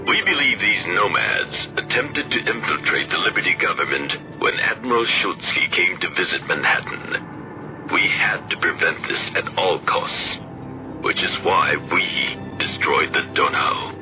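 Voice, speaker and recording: An actor playing a solemn lord of a secret order in a video game, explaining things formally and with measured weight. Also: male voice, low-pitched, lively intonation, rough recording. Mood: grave. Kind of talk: dramatic video game cutscene acting. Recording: very loud background music.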